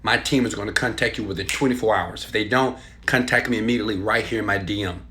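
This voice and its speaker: A man giving an emphatic, insistent motivational sales pitch, speaking straight to the viewer as an online marketer. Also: steady tone, fast, sharp tone.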